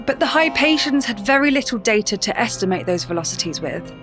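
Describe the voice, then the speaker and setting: A woman narrating a sci-fi audio drama in a measured, explanatory way. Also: a little high, animated. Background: music.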